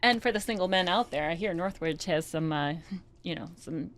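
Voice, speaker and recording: A woman hosting a podcast interview in a relaxed, affirming way. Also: fairly low pitch, moderate pace. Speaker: podcast host. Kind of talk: casual interview chat.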